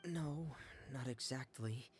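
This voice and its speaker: An actor voicing a shy, brooding teenage boy with a gravelly, halting voice in a video game. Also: medium pitch, slight background noise, close to an everyday voice. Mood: troubled. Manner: hesitant, halting.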